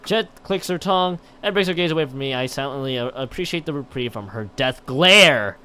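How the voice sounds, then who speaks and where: A man's voice: medium pitch, expressive, brisk, sharp tone, slight background noise. A man on a live game stream, reading a visual novel aloud with stumbles and exasperated asides. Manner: stumbles and repeats words while reading.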